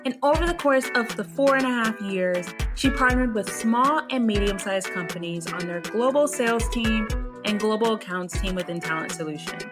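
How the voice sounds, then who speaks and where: A young woman hosting a podcast, talking in an upbeat, effusive way with casual slang. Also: medium pitch. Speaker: podcast host. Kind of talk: casual interview chat.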